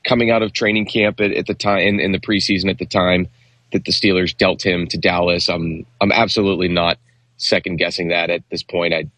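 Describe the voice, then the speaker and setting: A man's voice: low-pitched, little pitch movement, brisk, fairly bright tone, no room sound. A man co-hosting a sports radio show, talking casually and hesitantly with many fillers and restarts.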